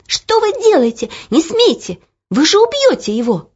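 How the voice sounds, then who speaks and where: A woman voicing a brave, stubborn young girl who cries out loudly in protest. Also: very high voice, fairly quick, voice pushed up. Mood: pleading. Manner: loud, pleading, indignant cries. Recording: dull lo-fi recording.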